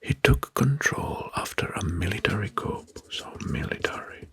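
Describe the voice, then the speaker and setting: A man narrating history as ASMR in a breathy, hushed whisper, deliberate and gently repetitive. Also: fairly low pitch, lively intonation. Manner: slow, deliberate, often repeating names and phrases softly.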